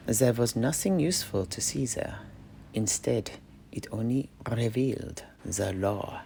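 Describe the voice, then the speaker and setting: A man telling a fantasy tale in character, theatrical and florid, often dropping to a hush at phrase endings. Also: on the low side, slight background noise. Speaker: in-character storyteller at a fantasy tavern. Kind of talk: dramatic in-universe fantasy narration.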